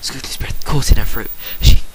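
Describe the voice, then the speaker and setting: A man giving a theatrical dramatic reading of a story, stumbling now and then as an amateur reader. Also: fast. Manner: theatrical narration with stumbles and exasperated asides. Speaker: reader of fan fiction. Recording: noisy amateur recording with clicks.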